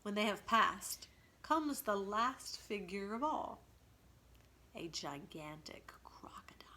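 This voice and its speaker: Bright-voiced woman teacher reading aloud. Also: medium pitch. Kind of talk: storybook read-aloud with explanations.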